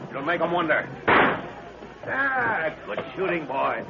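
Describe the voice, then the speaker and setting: Gravelly, commanding old-radio army leader. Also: male voice, a little high, very animated, slow, exaggerated voice. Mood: battle-excited. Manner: clipped, hearty, barking orders. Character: seasoned, commanding professor leading an army, eager for battle. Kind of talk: 1930s radio adventure acting. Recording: muffled, noisy 1930s radio recording.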